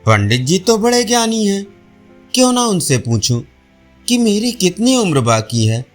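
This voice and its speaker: A man's voice: high-pitched, very animated, unhurried. A man voicing a stubborn, simple-minded farmer of seventy in a storybook tale, fretting and musing aloud. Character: stubborn, dim-witted seventy-year-old village farmer, anxious. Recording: faint music behind voice.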